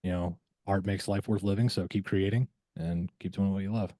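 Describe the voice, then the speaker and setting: A man with a very low, dark and mellow voice talks as an interview guest. He is easygoing and encouraging, chatting informally. The sound is close and very dry. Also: steady tone, moderate pace, dry recording. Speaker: podcast guest, voice actor. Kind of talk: casual interview chat.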